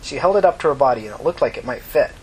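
A man's voice: mid-range voice, animated, rapid-fire, darkish tone, slight background noise, rough recording. A man narrating scripted fiction as the reader of a story.

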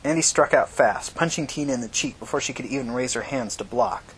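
Male fiction narrator, faintly noisy recording. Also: brisk. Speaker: audiobook narrator. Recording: noisy recording with low rumble.

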